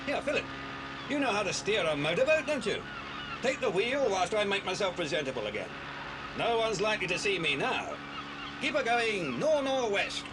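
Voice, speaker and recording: Animated, hearty detective, highish voice. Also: male voice, moderate pace. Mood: brisk, cheerful. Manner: hearty, reassuring, avuncular. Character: kindly, brisk detective inspector, children's adult friend. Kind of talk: 1980s children's audio drama acting. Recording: hissy old cassette with vehicle rumble.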